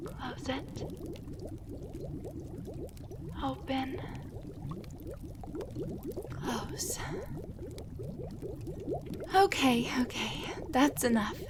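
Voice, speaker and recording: A woman's voice: sits high, noisy background. A woman playing a tiny, frightened enchanted doll, a cursed waitress, pleading hesitantly in a roleplay audio drama. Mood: relieved, coaxing. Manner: breathy, hesitant, urgent pleading.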